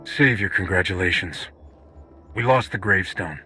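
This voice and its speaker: A man's voice: sits low, normal speed, pushed and bright, breathy edge, faint music behind, full character voice. A man playing a roguish, defiant starship captain with a grudge in a video game cutscene. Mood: grim. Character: roguish male commander and ship captain, vengeful, defiant.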